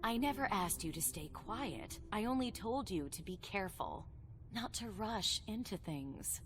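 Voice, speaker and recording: A woman's voice: medium pitch, lively intonation, a little slow, natural voice. A woman playing a cynical, protective female vampire sire in a video game, with a dry, sardonic, emphatic delivery. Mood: concerned, defensive. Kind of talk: video game cutscene dialogue acting. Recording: game music underneath. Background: background music.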